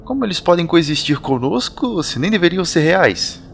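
An actor playing an earnest young man who trains in magic, speaking gently and thoughtfully in an audio drama. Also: fairly high pitch, sing-song, normal speed, gravelly, slight background noise.

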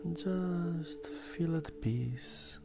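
Super slow soft breathy meditation voice, bit gravelly, almost whispering, gentle, noisy ambient background. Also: mid-range voice. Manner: gentle, unhurried, long pauses. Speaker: meditation guide. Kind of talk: guided meditation. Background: ambient meditative music.

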